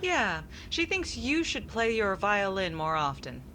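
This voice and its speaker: A woman's voice: mid-range voice, very animated, unhurried. A woman playing a dry, teasing, wisecracking regular in an old-time radio comedy. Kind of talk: classic radio sitcom acting. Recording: very noisy recording with clicks.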